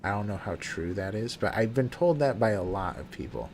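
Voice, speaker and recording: A man casually chatting while he plays a game on a live stream, talking in a relaxed, soothing way and often restarting his sentences. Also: on the low side, fairly quick, a little hiss or hum. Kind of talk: casual live gameplay commentary. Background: game music.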